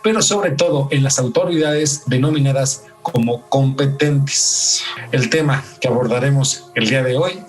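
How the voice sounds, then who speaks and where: A man's voice: mid-range voice, measured pace, recorded from a distance, soft background music, rough recording. A man with a Mexican accent hosting a podcast and narrating true-crime stories from a script. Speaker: podcast host.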